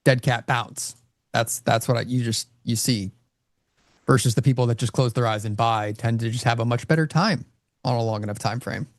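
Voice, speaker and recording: A man's voice: medium pitch, moderate pace, no echo, clean studio sound. A man casually talking through his trades on a live stream, rambling with lots of fillers. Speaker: trader host. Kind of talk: live trading commentary.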